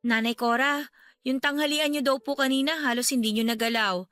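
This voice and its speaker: Teenage girl, high-pitched, bright, earnest, respectful, emotional, radio drama. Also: fairly even intonation, fairly quick, no echo, faint music behind. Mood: pleading, tearful. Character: devoted, pleading teenage girl caring for her sick nanny. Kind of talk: Tagalog radio drama acting.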